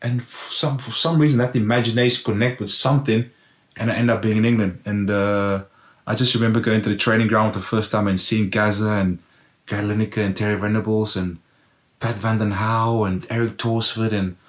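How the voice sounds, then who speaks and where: A middle-aged South African man whose first language is Afrikaans, telling his life story in an interview and acting out his past self with exclamations. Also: on the low side. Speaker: guest, former footballer. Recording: roomy echo.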